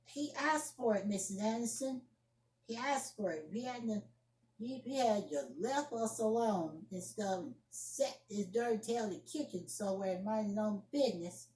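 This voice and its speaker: A woman acting an indignant young woman in a solo amateur monologue, scolding and defending herself emphatically with repetitions and halting restarts. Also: mid-range voice, darkish tone, close to an everyday voice. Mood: defensive. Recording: echoey room.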